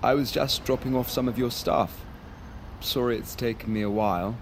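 A man's voice: mid-range voice, moderate pace, slight background noise, lightly acted. A man playing an awkward, apologetic, self-deprecating ex-boyfriend in a naturalistic audio drama, dejected and hesitant.

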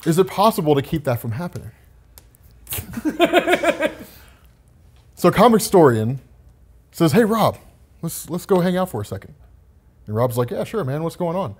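A man in casual banter with friends, improvising silly comic-book stories, animated and playfully defensive. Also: a little high, moderate pace, long pauses, husky.

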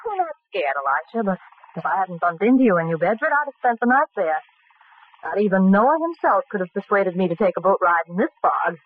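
A woman's voice: medium pitch, very animated, brisk. An actress playing a self-possessed, inquisitive young woman in 1940s radio drama, wry and articulate. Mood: wry, curious. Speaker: actor. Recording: tinny, narrow old radio recording.

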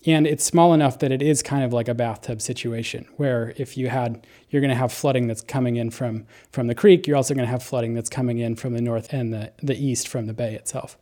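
A man's voice: mid-range voice, steady tone, brisk, few pauses, warm tone. A man explaining local flood risk in a descriptive way, thinking aloud as he talks.

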